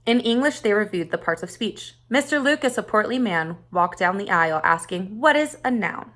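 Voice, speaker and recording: Woman teacher reading a kids story out loud, normal pitch, puts some expression on the key words. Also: normal speed. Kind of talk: read-aloud narration of a children's short story.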